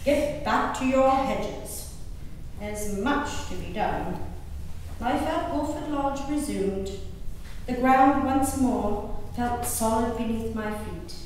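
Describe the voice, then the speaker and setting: A woman performing a historical diary as a resolute, haunted gentlewoman recalling peril at sea, in theatrical, measured phrases with dramatic pauses. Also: mid-range voice, close to an everyday voice. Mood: resigned, reflective. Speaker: stage performer. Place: live reading in a reverberant hall. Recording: distant echoey hall, noisy room recording.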